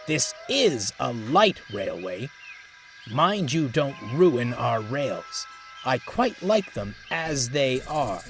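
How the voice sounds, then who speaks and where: A man's voice: mid-range voice, sing-song, slow. A man voicing a grumpy, sarcastic male engine who snarls his words. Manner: snarling and sardonic. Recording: music playing behind.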